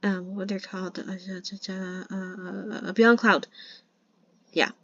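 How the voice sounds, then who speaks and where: A woman's voice: medium pitch, fairly even intonation, slow, fairly bright tone, no room sound. Brief: a woman, non-native English, hosting a chatty novel read-along; trips over words and restarts, on a dull lo-fi mic. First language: not English. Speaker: channel host reading a novel aloud.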